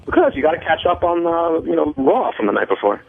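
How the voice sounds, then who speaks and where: Male radio staffer, rapid delivery, narrow phone-like audio, critical yet hedging. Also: a little high, big pitch swings, vocal fry. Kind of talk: casual on-air chat. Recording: thin phone-like radio sound.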